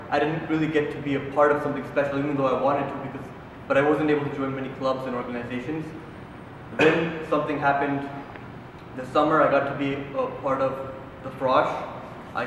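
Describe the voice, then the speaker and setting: Earnest young man, flat campaign speech. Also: medium pitch. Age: young adult. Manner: earnest, formal. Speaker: student council candidate. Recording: echoey hall from far off, noisy.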